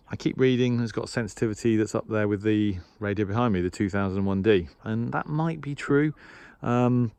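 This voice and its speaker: A man's voice: fairly low pitch, darkish tone, smooth. A man giving a casual, unscripted equipment review as a hobbyist presenter, hesitating and thinking aloud as he goes. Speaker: shortwave radio hobbyist presenter.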